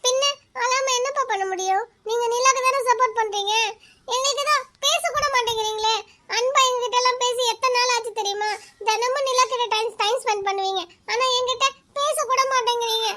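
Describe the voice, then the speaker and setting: A woman's voice: a little fast, fairly bright tone. A woman voicing a spoiled college-age daughter who whines and complains in a cartoon. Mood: whiny. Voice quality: very high-pitched. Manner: whining, complaining.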